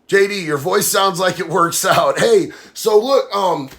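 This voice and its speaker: A man's voice: sits high, measured pace, fairly bright tone. Male streamer, nasal with a sinus infection, blunt and heated delivery. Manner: blunt, heated, says bro a lot. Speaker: livestream host. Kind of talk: casual live chat reading comments.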